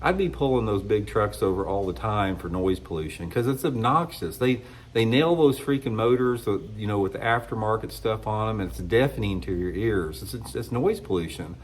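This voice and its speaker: Midwestern man, middle-aged, congested, fairly low voice; casual blunt talk to camera with frequent restarts. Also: moderate pace. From United States. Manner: rambling, blunt, restarts often. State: congested from a cold. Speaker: fishing channel host. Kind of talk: casual opinion monologue to camera.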